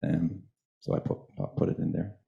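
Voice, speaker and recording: A man's voice: sits low, level tone, brisk, creaky, lo-fi sound. A man, an author on a panel, answering hesitantly with many ums. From Ireland. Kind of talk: panel talk.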